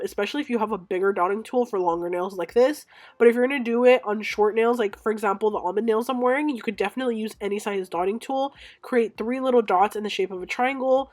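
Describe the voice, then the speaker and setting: Young woman, congested sick voice, casual chatty voice-over, fillers and self-corrections, at home. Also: mid-range voice. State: congested, stuffed-up voice from illness. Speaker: nail art creator.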